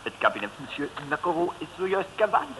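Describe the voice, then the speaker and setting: An actor playing an officious, excitable French prime minister with a French accent in an old radio comedy. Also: male voice, fairly high pitch, fast talker. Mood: self-important. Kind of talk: 1950s radio comedy acting. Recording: noisy old radio recording.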